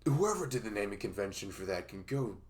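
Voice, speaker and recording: A man playing a dorky, smitten young best friend in an intimate ASMR roleplay, speaking in a halting, awkward, rambling way. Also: fairly low pitch, sing-song, a little mellow. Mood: goofy, playful.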